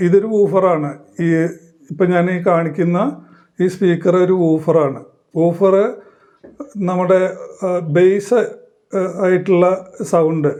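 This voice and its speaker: A man explaining a hands-on demonstration in halting, short phrases, like a tutorial presenter. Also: high-pitched, mellow.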